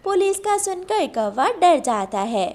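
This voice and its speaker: A woman's voice: very high-pitched, big pitch swings, unhurried, darkish tone. A woman narrating a scripted children's cartoon story.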